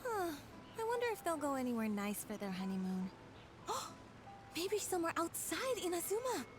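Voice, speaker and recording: Curious young townswoman: very high, animated, gossipy, clear music bed underneath. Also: normal speed. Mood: dreamy. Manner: gossipy, wondering, expressive. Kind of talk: scripted video game dialogue. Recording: game music behind.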